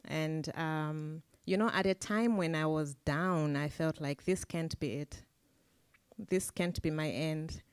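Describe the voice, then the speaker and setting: A woman's voice: sits low, slow pace, smooth, no room sound, studio quality. A woman on a discussion panel, speaking thoughtfully with frequent hesitations.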